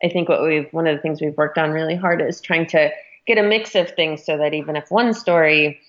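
A woman's voice: low voice, fairly even intonation, brisk, dull audio. A woman speaking as a magazine editor in a conversational interview, explaining things thoughtfully.

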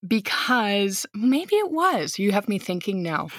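A woman's voice: mid-range voice, slow pace, dry recording, clean studio sound. An American woman in her thirties, an outgoing teacher telling a story in casual conversation and acting out the voices of the people in it. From United States. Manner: animated, outgoing storyteller who acts out quoted lines. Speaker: guest, teacher.

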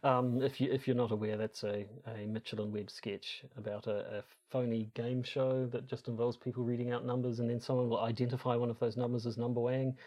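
A man of medium pitch co-hosts a podcast. He talks casually and at some length, rambling a little and hesitating as he repeats words on the way through a sentence. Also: level tone, fairly quick, hardly any pauses, mellow. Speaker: podcast co-host.

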